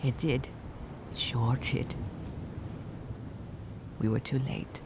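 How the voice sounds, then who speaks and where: A man playing a grim rescuer with a gravelly voice, speaking slowly and gravely in an audio drama. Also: flat delivery. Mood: grim regret. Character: grim male rescuer arriving too late. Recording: very loud rumbling noise behind.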